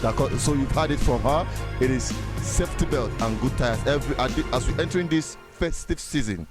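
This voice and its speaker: A man's voice: mid-range voice, fairly quick, over music. A man with a Nigerian accent reporting from beside an expressway and addressing viewers with public advice. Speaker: field reporter. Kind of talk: roadside report with safety advice.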